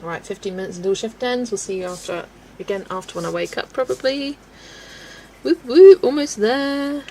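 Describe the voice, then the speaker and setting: A young woman hosting a colouring livestream, chatting casually with viewers, relaxed and laughing often as she works. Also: a little high, moderate pace, slight background noise, rough recording. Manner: relaxed and chatty, laughs often, talks to herself while working. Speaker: livestream host. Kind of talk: casual chat with a live chat while colouring.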